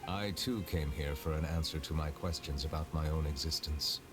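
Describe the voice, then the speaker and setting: Reserved male judge; very low, measured, formal. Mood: composed. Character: calm, reserved male chief justice. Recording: game music behind.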